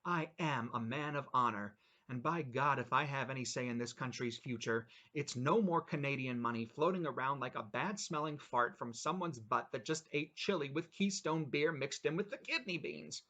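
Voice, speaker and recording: A man voicing a furious young man in a small-town cafe, ranting sarcastically and shouting his indignation. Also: medium pitch, normal speed, lo-fi sound. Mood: indignant ranting.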